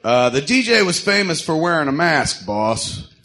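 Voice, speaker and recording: A man playing an exasperated, sarcastic henchman who placates his boss, casual and halting, in an amateur audio drama. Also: a little high, big pitch swings, bright, forceful tone. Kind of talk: amateur radio drama acting.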